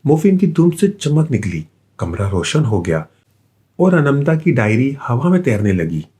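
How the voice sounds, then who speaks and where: A man narrating a scripted children's bedtime story with an expressive storytelling delivery. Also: medium pitch, measured pace, breathy edge.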